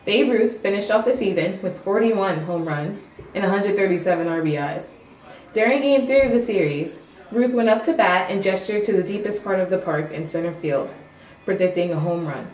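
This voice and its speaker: A woman's voice: medium pitch, normal speed, a little mellow. Woman reporter in an echoey room. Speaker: news reporter. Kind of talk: scripted amateur mock newscast. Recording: echoey room, distant mic, faint noise.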